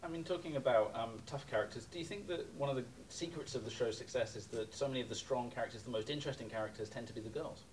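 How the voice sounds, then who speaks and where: A man interviewing an actress, asking questions in a halting way with repeated words. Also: mid-range voice. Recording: distant mic, faint noise.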